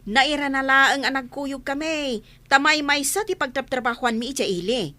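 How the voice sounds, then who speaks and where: A woman's voice: high-pitched, normal speed, bright, forceful tone. A woman playing a stern, fed-up woman who scolds sharply in an audio drama. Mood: exasperated. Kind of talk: Filipino audio drama acting.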